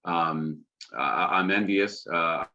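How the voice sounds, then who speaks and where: A man from Texas presenting his oil company on a webinar, steady and full of fillers, over a video call. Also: low voice, slow. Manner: steady, filler-heavy explaining. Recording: dull lo-fi video call audio.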